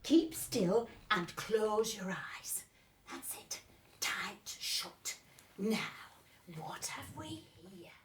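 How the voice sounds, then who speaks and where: A creepy father murmurs and whispers, coaxing his child to keep still, as a woman puts him on. His voice sits low for her, set back in a roomy space. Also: sing-song, slow, a bit bright, a little hiss or hum, exaggerated voice. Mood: hushed. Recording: roomy, distant echoey recording.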